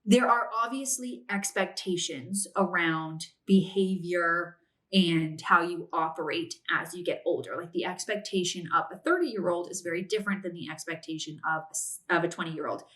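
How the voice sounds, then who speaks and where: A woman's voice: fairly low pitch, normal speed, a bit bright. Chatty podcaster woman in her late twenties. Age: 20s. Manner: chatty, frequent "like" and "if that makes sense". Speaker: podcast host. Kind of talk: casual advice monologue.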